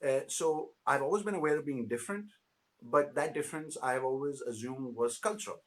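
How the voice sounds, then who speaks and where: A man's voice: medium pitch, fairly quick. A man in his late thirties from Pakistan, an interview guest speaking thoughtfully with many fillers and restarts. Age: 30s. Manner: thoughtful, many fillers and restarts, slips into Hindi-Urdu phrases. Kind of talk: reflective conversational interview.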